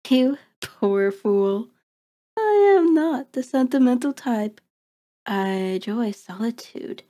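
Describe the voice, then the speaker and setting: A predatory female vampire acted by a woman toys with her prey in an ASMR horror roleplay. Her voice sits a little high yet is very mellow and dark in tone. She speaks very slowly, with haughty, mocking, formal diction. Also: lively intonation. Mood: disdainful. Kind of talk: ASMR horror roleplay, intimate scripted monologue.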